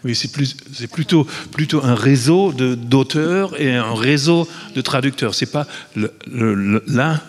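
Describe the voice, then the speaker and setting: Slow, word-searching man in a reverberant hall. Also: mid-range voice. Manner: searching for words, heavy repetition, slow. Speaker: panelist, poetry project organizer. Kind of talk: round-table discussion.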